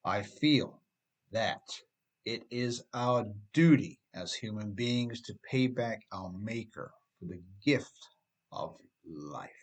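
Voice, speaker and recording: A middle-aged man, an author reading his own scripted motivational writing with emphatic, deliberate phrasing. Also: fairly low pitch, expressive.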